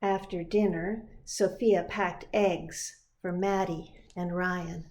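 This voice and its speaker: A woman narrating a children's picture book in an expressive, deliberate storytelling manner. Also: on the low side. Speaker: pastor reading a picture book.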